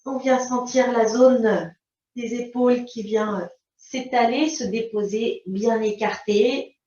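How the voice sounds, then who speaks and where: A woman leads a yoga class in a very slow, fairly high voice. She gives calm instructions in short, spaced phrases. The recording is roomy and picked up from well away from the microphone. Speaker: yoga teacher. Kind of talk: guided yoga and relaxation instruction. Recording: roomy, distant mic, dull sound.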